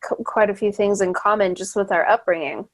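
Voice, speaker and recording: A woman's voice: mid-range voice, fairly even intonation, a little fast. Chatty young woman podcast host. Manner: chatty, many fillers and restarts, laughs easily. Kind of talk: casual interview chat.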